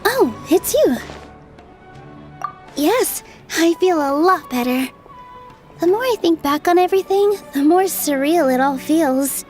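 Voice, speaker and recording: A woman playing a gentle, earnest young dancer in a video game, speaking sincerely and reflectively. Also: very high-pitched, animated, slow, pauses often, faint music behind, heavily acted. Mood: grateful, cheerful. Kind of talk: video game dialogue acting.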